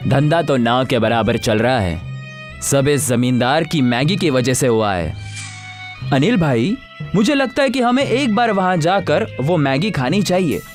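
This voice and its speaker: A man playing an aggrieved small-town food seller in an animated story, earnest and plain-spoken. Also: fairly high pitch, a little slow, faint music behind. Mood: worried.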